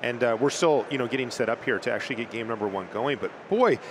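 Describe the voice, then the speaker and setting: A man's voice: mid-range voice, fast. Male esports commentator, noisy booth. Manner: energetic, conversational with fillers. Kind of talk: play-by-play commentary.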